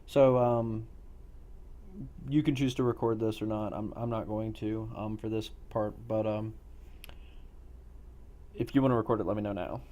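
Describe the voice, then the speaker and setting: Male game master, low soft-edged voice, casual group discussion after a session. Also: steady tone, normal speed, pauses often, slight background noise. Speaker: game master of an online tabletop-style roleplay group.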